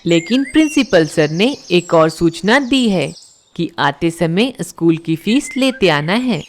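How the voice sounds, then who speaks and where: A woman's voice: fairly low pitch, expressive, slow pace, slight background noise. A woman voicing a young schoolboy bird in a cartoon, an earnest, pleading and obedient son. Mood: resigned, obedient. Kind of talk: Hindi cartoon voice acting. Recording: loud music behind the voice.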